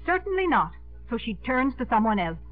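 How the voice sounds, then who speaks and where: A woman's voice: a little high, very animated, brisk. A woman acting a poised but coy and easily flustered middle-aged lady in a 1950s radio romantic comedy. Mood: coaxing. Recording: narrow-band 1950s radio, music behind.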